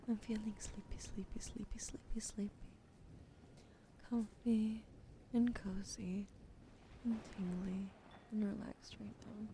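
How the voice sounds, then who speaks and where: A young woman streaming ASMR, drowsy and soft-spoken, slipping into whispers as she chats. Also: medium pitch, gravelly, a little hiss or hum. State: sleepy. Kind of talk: sleepy ASMR stream chat.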